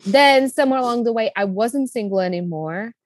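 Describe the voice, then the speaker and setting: A woman's voice: fairly high pitch, a little fast, dry recording, clean studio sound. A woman in her thirties from the Czech Republic with a Czech accent, a business coach telling her story as a guest, enthusiastic and talkative with many fillers. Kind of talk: casual interview storytelling.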